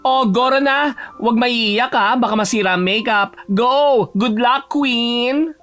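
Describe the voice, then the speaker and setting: A man's voice: extremely high pitch, takes it slowly, a bit bright, faint music behind, heavily acted. A man playing a flamboyant, sassy stylist friend who encourages theatrically in an audio drama. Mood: excited, cheering. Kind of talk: Tagalog audio drama acting.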